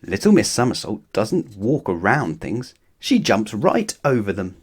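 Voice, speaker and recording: A man's voice: on the low side, big pitch swings, a little slow, breathy edge. A man narrating a children's storybook expressively, as if talking straight to young listeners. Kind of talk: animated children's story read-aloud.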